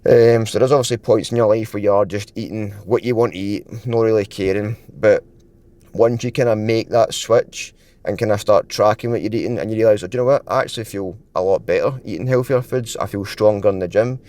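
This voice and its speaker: A young man with a lowish voice chats to camera about his fitness routine. He rambles casually and leans on filler words, and his throat sounds dry and a little croaky. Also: a little fast, a little mellow. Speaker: fitness vlogger. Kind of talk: casual vlog talk to camera.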